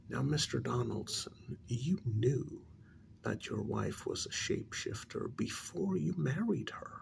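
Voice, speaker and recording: A man playing a patient middle-aged couples therapist in a soft ASMR role-play, speaking low, often near a whisper, in a measured, halting, placating way. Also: vocal fry, slight background noise, full character voice. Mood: patient, explaining.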